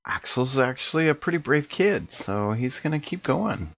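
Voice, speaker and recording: A man's voice: mid-range voice, very animated, moderate pace, creaky, fairly bright tone, lo-fi sound. A man playing a tabletop game, chatting casually about his character and his rolls.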